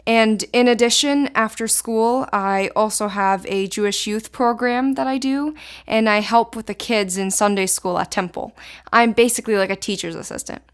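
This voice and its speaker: A teenage young woman from Israel, a native Hebrew speaker, answering informal interview questions as a high school student. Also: moderate pace, smooth. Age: teens.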